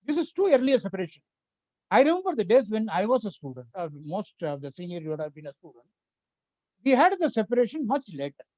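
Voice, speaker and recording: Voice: medium pitch, mellow, no room sound. An older speaker with an Indian accent and a Tamil mother tongue, giving an earnest, explanatory webinar lecture that works through points one by one. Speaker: invited speaker on education policy. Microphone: video call. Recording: dry, slightly muffled webinar audio.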